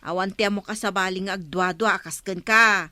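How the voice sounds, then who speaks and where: A woman's voice: mid-range voice, steady tone, fairly quick, bright, forceful tone, no echo, lightly acted. A woman with an Ilocano accent playing a frank, scolding village woman in a radio comedy drama. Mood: chiding.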